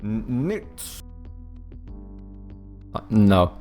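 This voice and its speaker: A man's voice: lowish voice, very animated, extremely slow, a little mellow, soft background music. A young man with a Swedish accent chatting loosely on a game development stream, swearing often and filling gaps with ums and likes. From Sweden. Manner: loose and profane, full of fillers and restarts. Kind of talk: casual live-stream chat.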